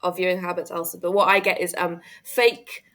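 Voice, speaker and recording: A woman comedian guest chatting on a podcast in a dry, wry, deadpan way. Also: fairly low pitch, fairly quick. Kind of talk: casual comic chat.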